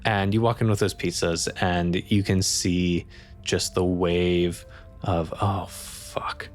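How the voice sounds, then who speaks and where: A man's voice: deep voice, level tone, slow pace, faint music behind. A man acting as game master, casually improvising the narration of a tabletop role-playing game.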